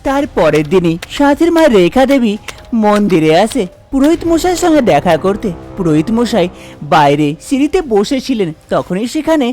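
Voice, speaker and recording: A woman's voice: mid-range voice, very animated, normal speed, warm tone, soft background music. A woman narrating a scripted cartoon folk tale as its storyteller.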